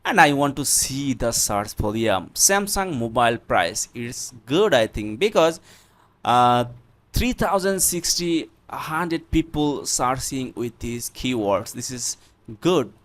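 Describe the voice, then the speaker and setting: This is a man with a Bangladeshi accent leading an unscripted screen tutorial. His pace is slow and his pitch sits a little high for a man. He talks haltingly, often repeating himself as he explains each step. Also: expressive. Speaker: tutorial presenter.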